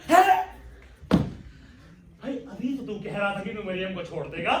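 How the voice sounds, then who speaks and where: A miserly old household master played by a man on stage, blustering and exclamatory. His voice is high and projected for stage comedy. It comes from a distance through a noisy, echoing hall. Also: very animated, measured pace. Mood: exasperated. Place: theatre stage, recorded from afar.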